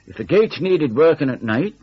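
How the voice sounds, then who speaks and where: A man's voice: fairly high pitch, very animated, normal speed, breathy edge. A man narrating a scripted first-person story in a wistful, reflective way. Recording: dull, band-limited audio.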